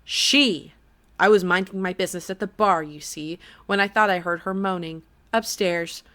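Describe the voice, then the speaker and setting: A woman's voice: on the low side, normal speed, a bit bright, close to an everyday voice. A woman voicing a crude, whiny dead man. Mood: whiny indignant. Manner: whiny, pathetic, swearing.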